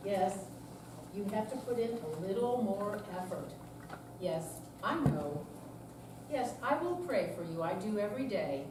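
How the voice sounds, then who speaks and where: A woman's voice: medium pitch, lightly acted. An older woman in an amateur staged reading, playing a patient, candid retired woman and devoted daughter. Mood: patient, weary. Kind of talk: amateur readers theater. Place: senior center, recorded from afar. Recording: far-off echoey room, clear background noise.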